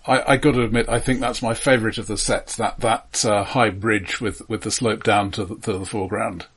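A man with a rough-edged, husky voice pitched on the low side co-hosts a film discussion. He is conversational and analytical, hedging and qualifying his points as he goes. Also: steady tone, brisk, fairly bright tone. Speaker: film podcast co-host.

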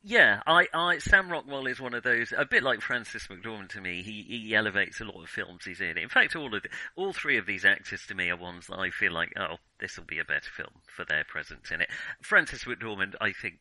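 Male film podcast host, sharp bright tone, close dry sound, hesitant, thinking-aloud conversational delivery. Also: fairly low pitch, lively intonation, brisk, few pauses, dry recording, rough recording. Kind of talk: casual film discussion.

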